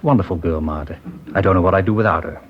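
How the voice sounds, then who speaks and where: A soft-spoken, trusting older man from an old radio crime drama, hesitant and a little rambling, with a low voice. The sound is muffled and dated, like a vintage broadcast. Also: fast talker. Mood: gentle. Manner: gentle, hesitant, rambling speech. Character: mild, trusting older male garment-factory owner. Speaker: witness in a police interview. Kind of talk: 1950s radio drama acting.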